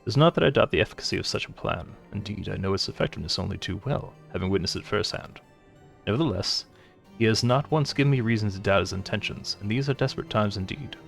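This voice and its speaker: Grave, low-voiced courtly commander acted by a man. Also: vocal fry, soft background music. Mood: grave, reserved. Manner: measured, archaic, formal diction. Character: grave, formal, courtly commander of a fantasy game.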